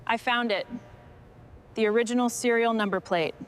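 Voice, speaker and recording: A woman's voice: on the high side, normal speed, natural voice. A woman playing a focused restoration crew boss, giving short orders in acted workshop dialogue. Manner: short, instructing phrases. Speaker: crew leader in a truck restoration.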